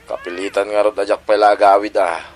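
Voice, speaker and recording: A man playing a gentle, devoted father in an audio drama, with an Ilocano accent. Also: fairly low pitch, flat delivery, fast talker, natural voice. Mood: apologetic, caring.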